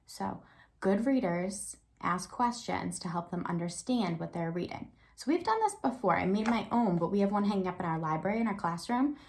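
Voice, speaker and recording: A woman's voice: medium pitch, normal speed, darkish tone. A woman, an elementary teacher giving a read-aloud lesson to young children and thinking aloud with prompting questions.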